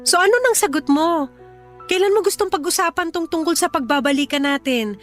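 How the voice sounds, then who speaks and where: High-voiced, clingy young mother. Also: fairly quick, soft background music. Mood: insistent, pleading. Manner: insistent, pleading. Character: clingy, pleading young mother longing for her ex. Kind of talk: radio drama acting.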